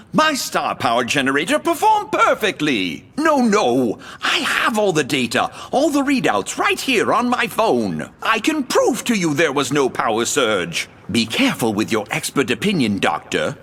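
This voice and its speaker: A proud, suspicious male inventor in an audio drama. His delivery is theatrical and insinuating, swooping widely in pitch. The voice has a slightly rough, husky edge. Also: wildly swooping pitch, unhurried, hardly any pauses, pushed and bright, full character voice. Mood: indignant.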